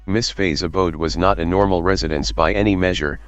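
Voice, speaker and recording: Deep smooth guy reading visual novel text on stream, bit dull mic, music behind. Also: fairly even intonation, a little fast, no echo. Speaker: game streamer. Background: game music.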